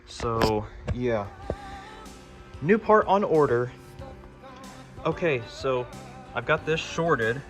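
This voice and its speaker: A man presents a hands-on electronics project in a casual, conversational way. His voice sits at an average pitch. Music plays clearly in the background. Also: lively intonation, very slow, long pauses.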